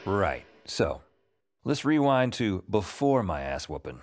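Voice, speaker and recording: A man's voice: deep voice, sing-song, slow pace, heavy background noise, full character voice. An actor playing a cocky, battered fantasy hero who narrates his own exploits in casual, sarcastic asides for an audio drama. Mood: wry, cocky. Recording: loud music and effects behind.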